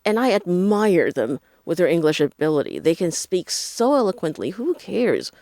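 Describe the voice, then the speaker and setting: A woman teacher from Taiwan talks in a relaxed podcast chat, her pitch swinging widely as she acts out the people she quotes. The sound is dry and close, with no room behind her. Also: normal speed, no echo. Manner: animated, acts out quoted voices. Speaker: English teacher, guest. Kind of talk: casual podcast conversation.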